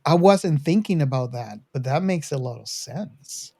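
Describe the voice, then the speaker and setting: Man with a mellow, dark voice. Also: a little high, expressive, measured pace. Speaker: movie discussion podcast co-host. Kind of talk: casual film discussion.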